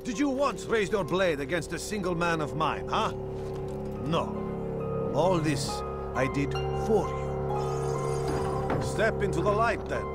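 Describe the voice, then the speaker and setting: An actor playing a self-righteous slaver villain in a video game, grandiose, taunting and condescending. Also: male voice, on the high side, sing-song, slow, pauses often, loud music behind the voice. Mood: taunting, grand. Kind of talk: video game cutscene acting.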